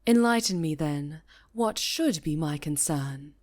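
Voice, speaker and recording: A stern high enchantress and mentor of witches, played by a woman, speaks in a lowish, very measured voice. She is proud and guarded, with courteous, formal wording in an ASMR fantasy roleplay. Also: expressive, darkish tone. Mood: suspicious, curt.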